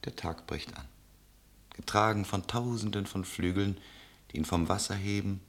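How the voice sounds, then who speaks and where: An actor playing a tender traveller who tells lyrical, solemn stories in a literary radio play. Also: male voice, sits low, moderate pace. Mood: lyrical, awed. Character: male traveller, tender poetic storyteller.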